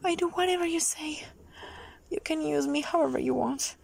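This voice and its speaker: A young adult woman performing a solo audio roleplay in a soft, breathy, pleading manner, often dropping into a whisper. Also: sits high, slight background noise. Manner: slow, drawn-out delivery with frequent whispering.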